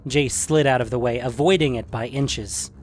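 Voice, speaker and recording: A man's voice: medium pitch, moderate pace. A man narrating a scripted fantasy adventure story.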